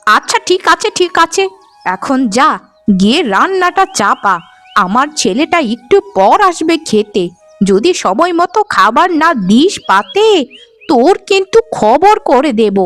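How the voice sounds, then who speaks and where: A woman's voice: high voice, big pitch swings, unhurried, fairly bright tone, exaggerated voice. A woman voicing a spiteful older mother-in-law in a cartoon, nagging and sarcastic with exclamations. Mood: angry scolding. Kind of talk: cartoon voice acting. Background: music.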